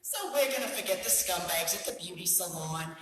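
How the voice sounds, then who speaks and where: A woman's voice: lowish voice, expressive, brisk, natural voice. A woman playing a brassy, sarcastic, melodramatic mobster's wife in a comic radio play. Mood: affectionate. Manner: sassy, melodramatic, slangy scolding. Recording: noisy echoey hall, distant mic.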